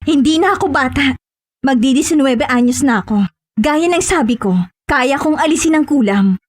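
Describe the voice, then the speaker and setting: A woman's voice: high-pitched, animated, moderate pace. A woman playing a stern, self-assured eighteen-year-old healer in an audio horror drama. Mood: firm.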